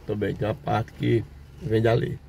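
A man's voice: fairly low pitch, level tone, normal speed, vocal fry, warm tone, a little hiss or hum. An older man, chatting casually to viewers while he drives and walks around a market. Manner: chatty, points things out to viewers. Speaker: vlogger. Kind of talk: casual vlog narration. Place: in a car and at an outdoor street market.

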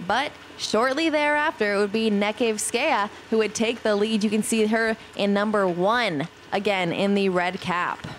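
A woman giving recap commentary as a voice-over on a cross-country ski race, in a brisk play-by-play style. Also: mid-range voice, steady tone, a little hiss or hum. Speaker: sports commentator.

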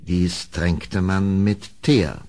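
An actor narrating a horror tale as a grave storyteller in a vintage German radio play. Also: male voice, low-pitched, fairly even intonation, very slow, a little mellow, exaggerated voice. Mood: measured.

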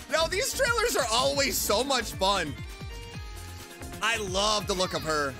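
A reaction streamer gushing over a game trailer with loud exclamations and frequent laughter. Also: medium pitch, slow, over music. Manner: excitable, laughs often, exclaims loudly. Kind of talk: casual reaction commentary.

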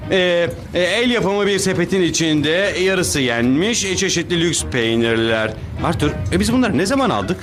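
Earnest, bombastic cartoon superhero, widely swinging delivery, goofy and theatrical, music underneath. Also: male voice, high voice, lively intonation, normal speed, few pauses, a bit bright. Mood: pleading. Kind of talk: animated cartoon dub.

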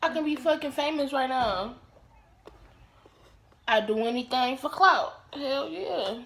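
Brash, high-pitched young American woman. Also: unhurried, vocal fry, reverberant, slight background noise, low-quality recording. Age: young adult. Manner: brash, animated, heavy swearing. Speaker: vlogger. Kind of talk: casual prank-call banter with friends. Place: small home room.

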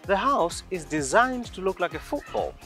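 High, lively-voiced teasing male TV host. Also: moderate pace, faint music behind. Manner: playful, teasing, laughs often. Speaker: TV show host. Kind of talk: lively TV interview. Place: living room of a house. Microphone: lapel mic.

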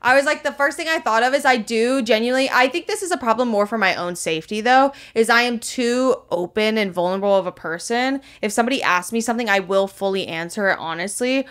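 A woman's voice: a little high, fast talker, hardly any pauses, a bit bright. A young woman co-hosting a comedic podcast, chatting casually with blunt, slangy candor. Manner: blunt, slangy, candid oversharing. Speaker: podcast co-host.

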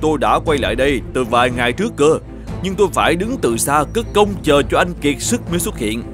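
A man's voice: on the high side, big pitch swings, a little fast, over music. Man acting a greedy, gloating cartoon treasure hunter. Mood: cold, gloating. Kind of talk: animated folktale dialogue.

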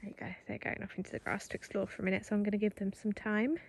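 A woman vlogging casually while riding an electric bike along a forest track, chatting to the camera and coaxing her dogs along in a high sing-song voice. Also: little pitch movement, very fast, breathy edge.

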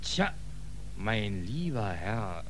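Deadpan, wry male drifter. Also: on the low side, extremely slow, full character voice. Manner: deadpan, mock-polite. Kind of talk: 1940s German radio play acting and first-person narration. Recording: slightly noisy 1940s radio recording.

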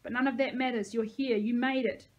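Woman narrator as tough older female sergeant, gravelly, bossy, folksy, motherly, audiobook character. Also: on the high side, steady tone, fairly quick, lo-fi sound, lightly acted. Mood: tender, apologetic. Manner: bellowing orders, folksy endearments. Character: tough, bossy grey-haired woman army reservist, motherly toward kids.

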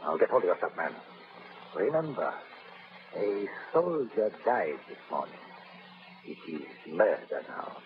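A cold callous scientist villain. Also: male voice, sits low, sing-song, measured pace, long pauses. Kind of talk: 1930s radio drama acting. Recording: muffled old radio broadcast.

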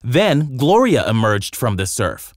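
Animated children's storybook narrator. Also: medium pitch, takes it slowly, no room sound, studio quality.

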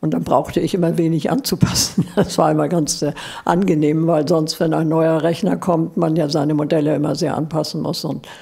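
An older woman speaking plainly from an auditorium seat into a handheld mic held out to her, pausing often on fillers. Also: low voice, flat delivery, creaky, mellow, slightly rough. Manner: plain, hesitant, many fillers. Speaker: audience guest, scientist. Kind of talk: spontaneous answers from the audience. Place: seated in a large indoor auditorium.

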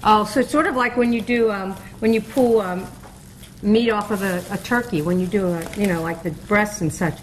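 A woman's voice: medium pitch, moderate pace, slight background noise, low-quality recording. A woman hosting a cooking show, eagerly asking her guest questions in casual chat.

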